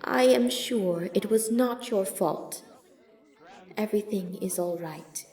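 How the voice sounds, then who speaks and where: A woman playing a gentle, protective monster mother in an audio drama, speaking in a formal, careful, soothing way. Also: medium pitch, slow pace, roomy sound. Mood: soothing, sorrowful.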